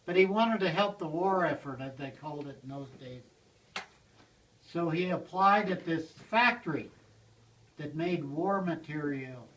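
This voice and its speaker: An older man, a blacksmith, casually telling a rambling story to a small group, chuckling now and then. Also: a little high. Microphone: handheld video camera. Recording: distant from the mic, roomy.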